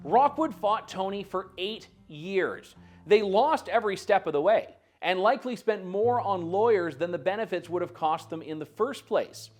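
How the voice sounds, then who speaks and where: A man's voice: high voice, animated, normal speed, soft background music. A man narrating a documentary in a scripted, pointed, accusatory delivery.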